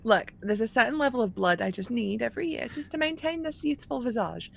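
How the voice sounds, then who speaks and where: A woman's voice: fairly high pitch, expressive, brisk, vocal fry, slight background noise. A woman chatting casually and wryly about the game streams they play and run. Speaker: game player and co-game master promoting streams. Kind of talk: casual chat.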